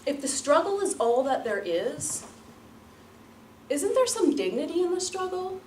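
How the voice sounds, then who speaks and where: Female public speaker, theatrical storyteller with very lively intonation, picked up from a distance with light noise. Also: high voice. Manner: theatrical, emphatic, with deliberate repetition and pauses. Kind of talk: rehearsed dramatic storytelling speech to an audience. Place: room before an audience. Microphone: distant microphone. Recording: roomy, distant mic, light background noise.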